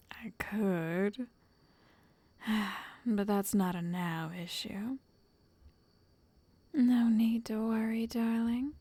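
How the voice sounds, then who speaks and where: A woman voicing a possessive, doting witch in an ASMR roleplay, soft and largely whispered, coaxing the listener with sweet menace. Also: medium pitch, no room sound. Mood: smug, reassuring. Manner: slow, coaxing, intimate, addressing the listener.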